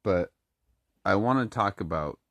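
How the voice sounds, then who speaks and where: Vlogger, speaks with a very low, deep voice, slightly sick and clearing the throat, halting delivery full of restarts, dry close sound. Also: male voice, animated, a bit bright, no echo. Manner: halting, many restarts and fillers. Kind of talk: casual monologue to camera.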